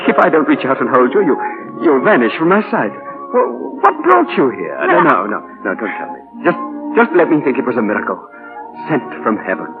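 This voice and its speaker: On a dull vintage radio recording with music, a middle-aged gentleman father. His delivery is very animated, courtly and halting, full of tender romantic feeling in the 1940s radio manner. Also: mid-range voice. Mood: awestruck, romantic. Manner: halting, courtly speech with chuckles. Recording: muffled vintage 1940 radio broadcast, music.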